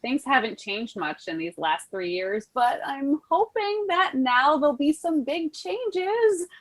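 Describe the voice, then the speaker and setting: Woman acting as young girlfriend, high-pitched, very animated, earnest, hopeful but frustrated, stage musical read-through. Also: a little slow. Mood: hopeful, uncertain. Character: Jill, hopeful young woman frustrated with her noncommittal boyfriend. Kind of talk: musical theatre table read. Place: recorded remotely online.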